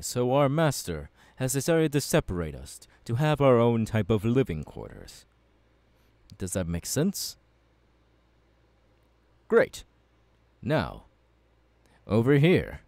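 Guy voicing an earnest young anime warrior mentor, lowish voice that swoops around, formal and encouraging, asmr roleplay. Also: a little mellow, dry recording, full character voice. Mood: reassuring. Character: passionate, courteous young male demon-slaying warrior mentor. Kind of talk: ASMR audio roleplay, spoken to the listener.

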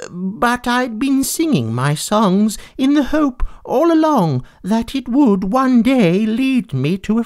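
A storyteller voicing a lonely, theatrical female cat monster who croons slowly and hurls grand insults. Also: medium pitch, a little mellow, pitched above the performer's own voice. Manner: slow, drawn-out crooning, haughty and insulting. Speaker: storybook figure.

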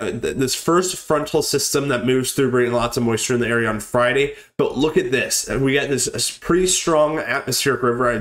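Young guy getting over a cold, doing a laid-back weather forecast at his desk, lots of ums, mid pitch. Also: fairly bright tone. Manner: rambling, many ums and restarts. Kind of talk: casual forecast walkthrough over maps.

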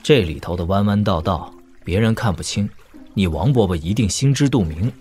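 An actor playing a young prince in an audio drama, talking in a casual, wry, teasing manner. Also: male voice, lowish voice, expressive, normal speed, darkish tone, faint music behind, lightly acted. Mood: wry, confiding.

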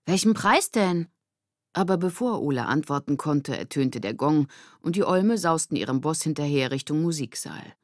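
A woman narrates a children's story in standard German. Her voice sits low for a woman. The sound is dry, with barely any room on it. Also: animated, normal speed, darkish tone, no room sound. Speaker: audiobook narrator.

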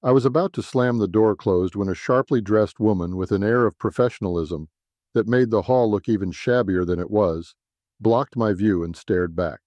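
A male narrator reads a scripted first-person detective story. His voice is fairly low and somewhat mellow. The recording is very dry and close, with little sense of a room. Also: moderate pace, no room sound, highs cut off.

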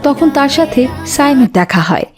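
A female narrator tells a scripted cartoon story. Her voice is pitched a little above the usual for women. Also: big pitch swings, normal speed, over loud music.

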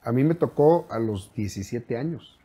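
A man's voice: mid-range voice, animated, moderate pace, dark tone, breathy edge. A Mexican man in his mid-forties, an easygoing podcast guest sharing a story. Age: 40s. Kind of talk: casual chat.

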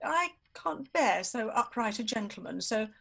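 A woman's voice: a little high, expressive, a little slow, vocal fry, a bit bright, husky, heavily acted. A woman acting a scheming, elegant Victorian adventuress in a radio play, with a haughty, theatrical manner. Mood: smug, gloating.

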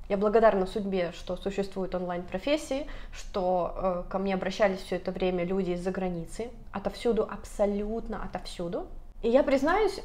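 A woman in her thirties talking to camera in a casual vlog, speaking animatedly and gesturing a lot, recorded on a lapel mic in a bright apartment room. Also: mid-range voice. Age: 30s. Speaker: vlogger.

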